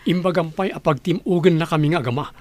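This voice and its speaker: A man's voice: on the high side, fast, darkish tone, natural voice. An actor with an Ilocano accent playing a stern, questioning father and household boss in a radio drama.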